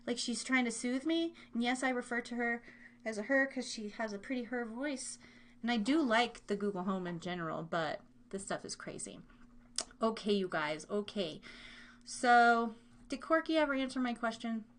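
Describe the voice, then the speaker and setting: High-pitched American woman hosting a casual livestream. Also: lively intonation, normal speed, a bit bright. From Kansas, United States. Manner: informal, rambling, playful slang. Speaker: livestream and podcast host. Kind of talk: casual live chat with listeners. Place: her room at home.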